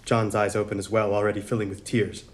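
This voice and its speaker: A man's voice: lowish voice, little pitch movement, fast talker. A man narrating script directions in a dramatic reading at a table read. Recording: room with faint noise and crowd.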